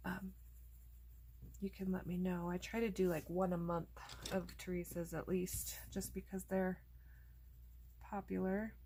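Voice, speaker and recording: Gravelly-voiced woman talking through coloring. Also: lowish voice, a little hiss or hum. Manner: chatty, relaxed, thinking aloud while working. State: losing her voice. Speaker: coloring channel host. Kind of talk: casual coloring tutorial narration.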